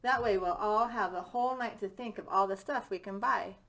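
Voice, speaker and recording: A confident young boy in a children's storybook, voiced by a woman reader. The tone is bright and matter-of-fact, like someone organizing friends. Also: medium pitch, a little fast, lightly acted. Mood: decisive.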